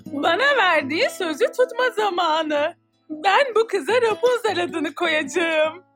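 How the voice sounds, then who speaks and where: A woman's voice: very high voice, animated, takes it slowly, over music, heavily acted. A woman playing a cunning, demanding old witch in a cartoon fairy tale, with theatrical delivery. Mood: gloating.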